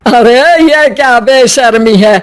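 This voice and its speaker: A woman's voice: on the high side, takes it slowly. A woman voicing a conservative middle-aged mother-in-law in a story, scolding in a scandalized, nagging way. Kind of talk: storybook character acting.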